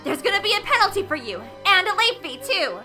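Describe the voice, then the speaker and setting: Very high, bossy teenage anime girl. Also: sing-song, a little fast, pushed and bright, faint music behind, heavily acted. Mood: bossy, boastful. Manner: brash, demanding, self-important. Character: bossy, hot-headed teenage girl club leader. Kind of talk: anime fan dub acting.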